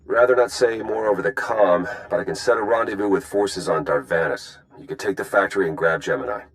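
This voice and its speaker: A man's voice: deep voice, brisk, clear, resonant tone, poor audio. A man playing a confident, sardonic spy in a video game, casual yet guarded and wry. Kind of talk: video game cutscene acting.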